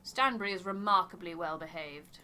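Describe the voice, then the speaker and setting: A woman's voice: lowish voice, normal speed, pushed and bright. A woman voicing a stern expedition dog handler in a game, terse and dry. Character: stern, clipped dog handler defending the dogs.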